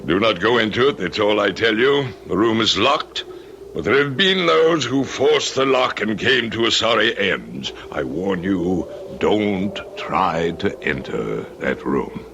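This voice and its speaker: An actor playing a grave, courtly lord of a cursed manor in old radio drama style, speaking with portentous deliberation. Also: male voice, lowish voice, expressive, unhurried, pushed and bright, lo-fi sound, slight background noise, exaggerated voice. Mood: stern warning.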